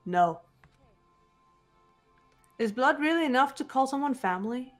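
A woman voicing a composed, guarded teenage girl detective in a video game, speaking in a measured, serious way, with bitterness held in check. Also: sits high, expressive. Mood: restrained bitterness. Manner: measured, serious, deliberate delivery. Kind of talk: amateur video game voice acting. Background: background music.

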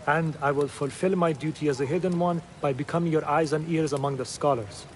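An actor plays a dutiful male scholar in a game drama. His voice is slightly high, friendly and sincere, with courteous phrasing and faint outdoor ambience behind it. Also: steady tone, a little fast, slight background noise, close to an everyday voice. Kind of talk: dramatic video game acting.

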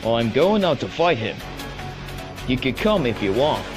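A man's voice: medium pitch, big pitch swings, measured pace, over music. A man voicing a brash young male action hero in an amateur cartoon. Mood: casual, determined. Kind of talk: amateur animated cartoon voice acting.

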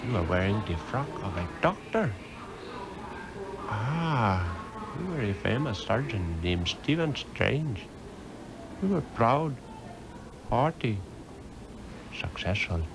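An actor playing an ancient, stern Tibetan sorcerer in a solemn, measured, oracular way in a 1960s radio drama. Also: male voice, on the low side, big pitch swings, exaggerated voice, low-quality recording. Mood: solemn, accusing. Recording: old radio recording with music.

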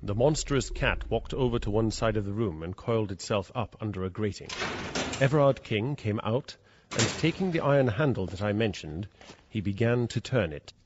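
An actor playing a polite gentleman narrator in a radio drama, speaking in a formal, literary, measured way. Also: fairly low pitch, expressive, background noise, natural voice. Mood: measured, awed narration. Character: polite gentleman narrator, an awed visiting cousin. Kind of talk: dramatised first-person storytelling for radio. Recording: dull radio recording over music.

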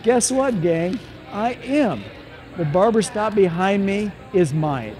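An older man, a barber, giving an upbeat direct-to-camera sales pitch in a shopping mall, asking questions and answering them himself. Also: animated, slow pace, a little mellow. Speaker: barber and franchise owner. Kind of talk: direct-to-camera promotional vlog. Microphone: camera on tripod at mid distance.

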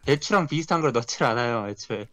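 A man's voice: medium pitch, expressive, quick pace, fairly bright tone. A man playing an online word game, chatting casually with the group.

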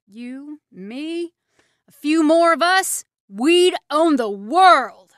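A woman plays an embittered soldier scheming to rule the ruins in a radio play. The voice is high and dry, with halting intensity that moves between hushed plotting and fervor. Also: lively intonation, no room sound, exaggerated voice. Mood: fervent, megalomaniac. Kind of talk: radio drama acting.

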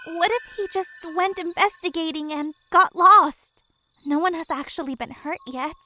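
An actress playing an anxious, excitable teenage student in an audio drama. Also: female voice, very high-pitched, normal speed, soft background music, exaggerated voice, poor audio. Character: student Daniella, jumpy teenage girl.

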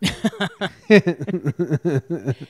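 A man's voice: a little high, animated, extremely slow. A young man co-hosting a podcast, giving short, blunt, skeptical replies in casual sports chat, speaking into a large mic at home. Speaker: podcast co-host. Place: home room, indoors. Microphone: large studio mic close up.

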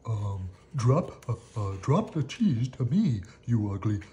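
A narrator voicing a big, bumbling brown bear from a storybook, halting and stammering. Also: mid-range voice, sing-song, warm tone.

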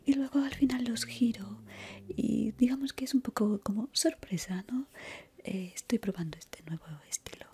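A young woman in a gentle, chatty, reassuring ASMR roleplay as a barber, whispering breathily close to the microphone. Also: on the high side, moderate pace, husky. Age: young adult.

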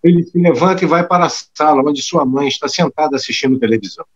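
An actor giving plain scripted narration of the actions in an audio drama. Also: male voice, a little high, fast, slightly rough, lo-fi sound. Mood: neutral.

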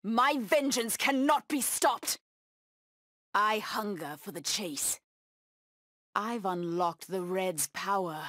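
An actress voicing a fierce, bloodthirsty feline huntress in a video game, with a menacing, haughty delivery. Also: female voice, medium pitch, slow, long pauses, a bit bright, no room sound, clean studio sound. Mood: fierce, exultant. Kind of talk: video game voice acting, short combat taunts.

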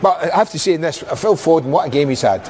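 A man's voice: on the high side, fast talker, slight background noise. A man, a football pundit in a TV studio, chipping in and arguing his points. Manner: animated, interjecting, argumentative. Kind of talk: studio match analysis.

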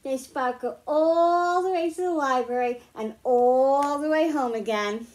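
A middle-aged woman reading picture books aloud to young children, explaining slowly and clearly at home. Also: high-pitched, a little mellow. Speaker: children's librarian. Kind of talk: storytime read-aloud for kids.

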